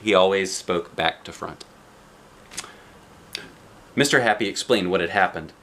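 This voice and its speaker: A man reading a children's book aloud like a dad, with expressive narration aimed at young listeners. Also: low-pitched, unhurried. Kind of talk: animated children's storybook narration.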